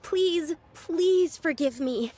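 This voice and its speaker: A woman's voice: very high-pitched, very slow, warm tone, full character voice. An actress voicing a polite, timid young woman in a video game, earnest and apologetic.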